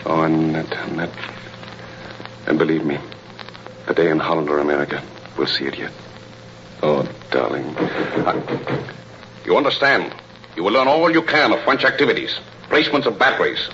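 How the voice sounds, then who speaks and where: Deep, grave colonel-spy. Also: male voice, animated, normal speed, long pauses, pushed and bright, full character voice. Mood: grave, tender. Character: grave, devoted spy posing as German colonel. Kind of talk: 1930s radio melodrama acting. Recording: muffled, noisy old radio broadcast.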